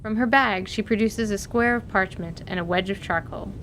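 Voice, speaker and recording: A woman's voice: normal speed, fairly bright tone. A woman reading scripted story narration as the narrator of an audio drama. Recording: noisy recording with low rumble.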